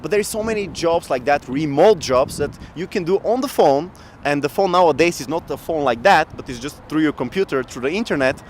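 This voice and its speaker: A man's voice: on the high side, fairly quick, hardly any pauses. Upbeat guy from bulgaria telling his story outside, laughs a lot, bit of street noise. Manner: animated, laughs often, upbeat. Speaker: guest entrepreneur. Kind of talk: casual interview storytelling. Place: outdoor city square in winter. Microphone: handheld mic shared, camera close.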